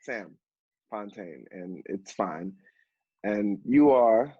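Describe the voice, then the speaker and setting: A man's voice: on the low side, animated, extremely slow, slightly rough, no echo, full character voice. An actor playing a polite, slightly catty male office assistant in a naturalistic stage play. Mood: polite, wry. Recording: dull, muffled dry sound.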